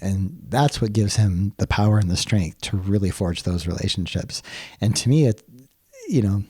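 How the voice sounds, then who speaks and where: A man with a low, very mellow voice that has a husky grain, recorded close and dry. He talks reflectively and earnestly, as a podcast co-host in conversation. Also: normal speed, no room sound. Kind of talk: conversational book discussion.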